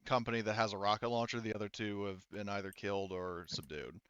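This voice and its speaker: Bright-voiced man running a tabletop game. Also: on the low side, steady tone, fast, clean tone, dry recording, dull audio. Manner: informal, profane. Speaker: game master. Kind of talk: casual tabletop game narration.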